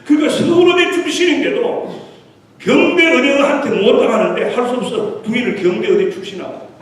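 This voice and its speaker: A man's voice: very high-pitched, brisk. A middle-aged man, a visiting pastor, telling anecdotes in a sermon and mixing in English terms. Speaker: guest revival pastor. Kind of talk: storytelling sermon. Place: church sanctuary. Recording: echoey church hall from far off.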